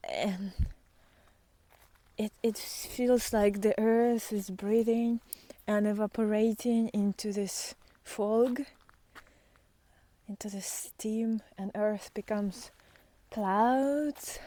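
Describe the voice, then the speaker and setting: Slow-paced, mellow woman musing outdoors. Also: medium pitch, steady tone. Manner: musing, halting, trailing into breathy near-whispers. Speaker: spiritual vlogger and guide. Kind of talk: unscripted reflective talk while walking in nature. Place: outdoors on a walk in nature.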